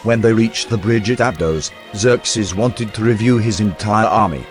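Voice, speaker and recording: A man reading a historical account aloud in a measured, storytelling documentary narration. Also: lowish voice, faint music behind. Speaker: reader of historical text.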